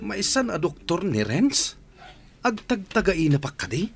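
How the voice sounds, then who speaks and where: A man's voice: high-pitched, sing-song, measured pace, gravelly, over music, heavily acted. A man acting a flustered village son-in-law in an Ilocano radio drama, urgent in manner, with an Ilocano accent. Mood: disbelieving. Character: flustered village man fretting over his mother-in-law.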